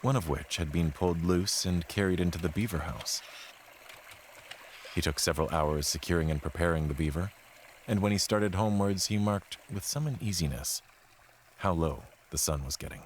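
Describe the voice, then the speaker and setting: A man gives a measured, solemn, literary narration of a scripted horror tale. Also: low voice, slight background noise. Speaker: storyteller narrating an audio play. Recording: music behind the voice. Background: background music.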